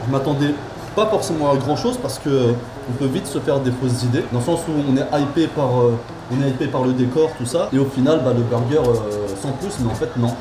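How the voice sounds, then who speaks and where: A man's voice: medium pitch, fairly quick, background noise. A man chatting casually as he reviews food, repeating phrases for emphasis. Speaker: vlog host tasting food. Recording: roomy echo, music over voice. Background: music.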